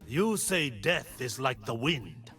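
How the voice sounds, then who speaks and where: A blind martial monk for a video game, stern and wise. His delivery is slow and creaky, solemn in every phrase, yet it rises and falls dramatically. Every line lands like a measured teaching. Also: medium pitch, big pitch swings, pushed and bright, faint music behind, exaggerated voice. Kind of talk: dramatic game character voice lines.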